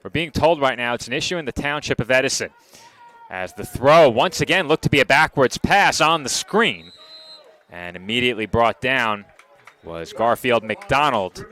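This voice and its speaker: Play-by-play man on a headset: bright, cutting voice, brisk and exact, dry quips. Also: medium pitch, lively intonation. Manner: brisk, precise, lightly wry. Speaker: play-by-play announcer. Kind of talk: live football play-by-play.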